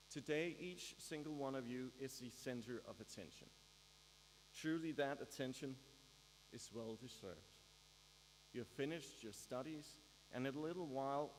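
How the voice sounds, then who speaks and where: A man with a Danish accent delivers a prepared ceremonial speech at a measured pace, with a dry, wry humor. His voice rings through a large hall with a long reverb tail. A faint electrical hum sits underneath. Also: mid-range voice. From Denmark. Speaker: graduation speaker.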